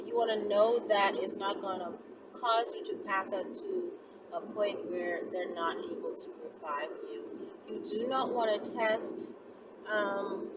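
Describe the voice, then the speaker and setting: A woman talking casually as an online host answering viewers, informal, sassy and emphatic, repeating herself to make a point. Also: mid-range voice. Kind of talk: casual chatty vlog talk. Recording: distant mic, loud vehicle-like background noise.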